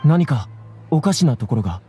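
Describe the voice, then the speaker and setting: An actor playing a troubled, earnest young scholar with a hesitant, apologetic manner in an anime game. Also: male voice, a little high, very animated, moderate pace, warm tone, faint music behind. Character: troubled, earnest young male scholar recalling his ordeal.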